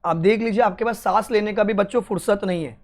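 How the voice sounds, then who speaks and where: A young Indian man teaching exam strategy to students, talking in an energetic motivational way and mixing Hindi with English. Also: high voice, quick pace. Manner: energetic, switches between Hindi and English, addresses students as kids.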